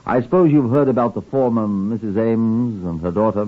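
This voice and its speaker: An actor playing a shrewd, courteous police superintendent who puts clipped, probing questions in an old radio drama. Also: male voice, lowish voice, normal speed, natural voice. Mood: politely probing. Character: shrewd, courteous male Scotland Yard chief superintendent. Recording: muffled, band-limited vintage radio recording.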